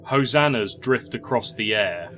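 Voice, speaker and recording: Slow-paced male fantasy narrator over music. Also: lowish voice, expressive. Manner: measured, literary storytelling. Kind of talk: scripted story narration of a fantasy tale. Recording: music clearly under the voice.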